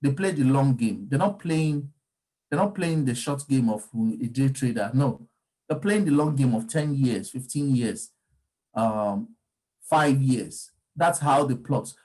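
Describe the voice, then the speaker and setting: A man's voice: medium pitch, unhurried, a little mellow, poor audio. Guy talking crypto markets, casual and rambly, keeps restarting his sentences. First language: Yoruba. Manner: discursive, frequent ums and restarts. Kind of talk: casual explanatory talk.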